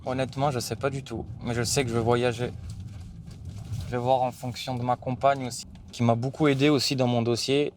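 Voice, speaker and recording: A man's voice: medium pitch, level tone, fairly quick, a little hiss or hum. A young man from France speaking earnestly in a documentary interview, hesitating and restarting his phrases.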